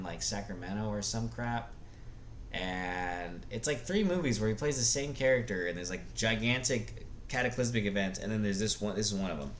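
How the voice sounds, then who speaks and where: Low-voiced man rambling casually, noisy recording. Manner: blunt, rambling, hesitant while searching for words. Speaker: video blogger giving movie opinions. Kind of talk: casual unscripted opinion monologue.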